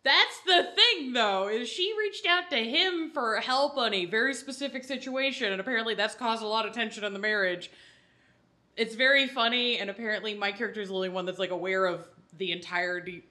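A woman's voice: fairly high pitch, fairly quick, sharp tone. A Scottish woman co-hosting a casual explanatory chat about a tabletop game, talking in an irreverent, sweary, jokey way. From Scotland. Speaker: podcast co-host leading the discussion.

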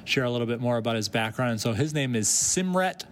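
A man hosting a casual interview podcast, relaxed and encouraging, often answering with short mm-hmms. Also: medium pitch, fast, slight background noise. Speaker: podcast host. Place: conference venue.

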